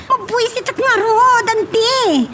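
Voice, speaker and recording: A woman's voice: very high-pitched, slow, very noisy. A woman playing a scheming, hot-tempered daughter in a radio drama, with an agitated, emphatic delivery. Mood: angry, indignant. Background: music.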